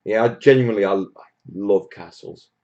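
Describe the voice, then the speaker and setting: A man's voice: lowish voice, normal speed, warm tone, lo-fi sound. A man from Wales hosting a casual live Q&A stream, chatting to viewers in a blunt, jokey, matey way. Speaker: host of a hobby livestream.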